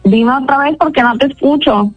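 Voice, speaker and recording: A woman's voice: sits high, moderate pace, soft background music. A woman on a phone call, patient and polite, often laughing as she answers a caller's odd questions. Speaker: prank call target. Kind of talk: phone conversation.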